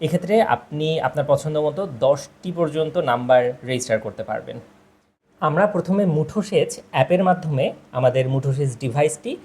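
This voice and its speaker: A man's voice: a little high, warm tone. A man narrating a product demonstration, explaining step by step and addressing viewers directly. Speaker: product presenter. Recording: clear background noise, ambient sound.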